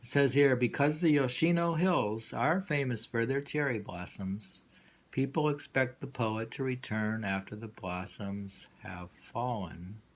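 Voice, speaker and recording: A man reading poetry aloud and discussing it informally, in a musing, halting way that lingers and repeats lines. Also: mid-range voice. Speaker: poetry reader and host.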